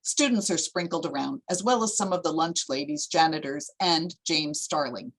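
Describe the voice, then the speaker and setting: A woman's voice: sits low, normal speed. A woman narrating stage directions for a screenplay table read in a scripted reading style.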